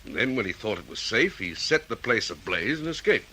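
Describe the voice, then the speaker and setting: An actor playing a methodical, questioning police detective in an Australian radio drama, with an Australian accent. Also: male voice, lowish voice, very animated, pushed and bright, a little hiss or hum, low-quality recording. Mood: probing. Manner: deliberate, questioning.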